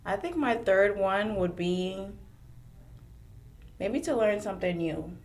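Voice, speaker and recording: A young woman whose first language is French, a podcast guest chatting casually and thinking aloud with pauses. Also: medium pitch, lively intonation, a little mellow. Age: young adult. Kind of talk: casual chat.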